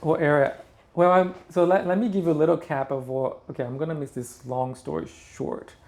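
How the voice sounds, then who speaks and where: A man's voice: a little high, steady tone, a little fast, creaky, a little mellow. A middle-aged man from Brazil with a Brazilian Portuguese accent, chatting as a guest and often restarting his sentences. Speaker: interior designer guest. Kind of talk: casual interview chat.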